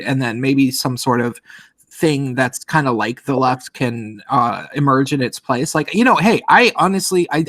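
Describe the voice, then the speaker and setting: A man's voice: medium pitch, a bit bright, no echo. Nasal-voiced man, rambling casual talk. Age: late 30s. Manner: rambling, with frequent restarts. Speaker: podcast host. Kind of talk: casual livestream chat.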